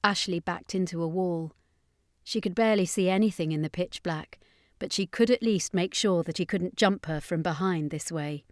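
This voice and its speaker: A woman's voice: fairly low pitch, normal speed, no echo, clean studio sound. A woman reading a horror fantasy story as scripted audiobook narration.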